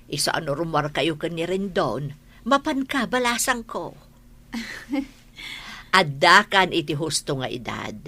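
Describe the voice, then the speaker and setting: A woman playing a kindly, persuasive middle-aged aunt in an audio drama, with a husky voice. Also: on the low side, sing-song, slow, long pauses, sharp tone, heavily acted. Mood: encouraging.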